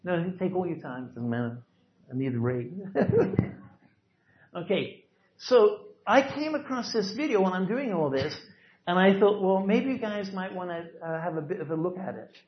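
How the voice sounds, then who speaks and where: A man presenting to a group in a relaxed, offhand way, chuckling now and then. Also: high-pitched, normal speed. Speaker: tour presenter. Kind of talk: casual chat to a group. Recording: echoey room, mic far off, dull.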